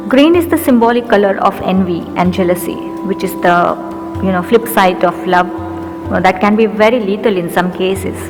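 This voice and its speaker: Indian woman, mid-range voice, English teacher and poet, earnest recital; long reverb, music bed. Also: medium pitch. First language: Urdu. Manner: measured, earnest, slows down for verse lines. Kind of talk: poetry recitation with commentary.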